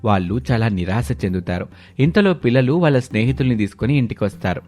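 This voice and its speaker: Mid-pitched male storyteller. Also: lively intonation, fast talker, darkish tone. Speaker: narrator. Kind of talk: scripted story narration.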